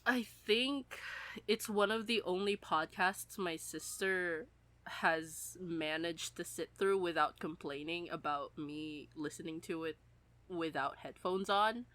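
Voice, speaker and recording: A woman's voice: fairly low pitch, slow pace, fairly bright tone. A young adult woman co-hosting a podcast in casual fan chat, rambling along in a giggly way. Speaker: podcast co-host.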